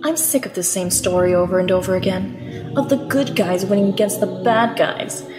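A woman's voice: mid-range voice, moderate pace, natural voice. A woman playing a bitter, bloodthirsty follower in a comic dub, venomous and dramatic. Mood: vengeful. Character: bitter, bloodthirsty follower sick of good winning. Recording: echoey reverb, music behind.

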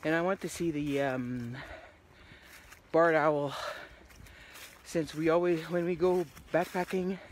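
A middle-aged woman talking casually to her phone while walking a wooded trail, rambling with many restarts and often trailing off into a quiet murmur. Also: low voice, steady tone, slow, a little hiss or hum. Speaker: hiking vlogger. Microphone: phone held at arm's length.

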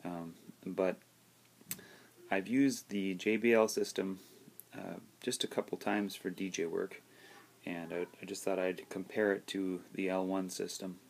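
A man in his thirties giving a casual, conversational gear review as a DJ, in a halting way, recorded indoors at arm's length. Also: low voice, steady tone, creaky. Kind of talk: casual video review talk. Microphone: phone or camera at arm's length.

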